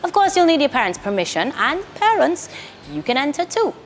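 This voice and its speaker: A woman's voice: high voice, sing-song, a little fast, slight background noise. A woman reading a scripted promotional announcement as an announcer.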